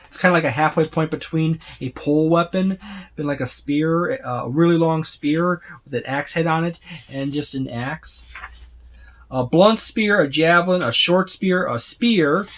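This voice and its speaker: Guy with a kinda high voice casually rambling thru lists, some noise and clicks behind him. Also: lively intonation, normal speed, a bit bright. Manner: rambling, often listing items one by one. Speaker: tabletop gaming reviewer. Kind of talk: casual unscripted book walkthrough.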